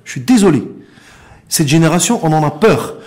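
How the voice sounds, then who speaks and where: Interview guest: middle-aged man, Moroccan accent, fairly high register, very lively intonation, emphatic and impassioned delivery. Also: moderate pace. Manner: emphatic, repeats words for stress, rhetorical questions. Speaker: interview guest, founder and director of an organisation.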